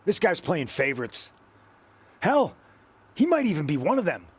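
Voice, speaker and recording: A man's voice: a little high, sing-song, moderate pace, breathy edge. A man playing a vengeful wrestler in a video game cutscene, blunt and indignant with clipped replies. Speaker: wrestler seeking revenge.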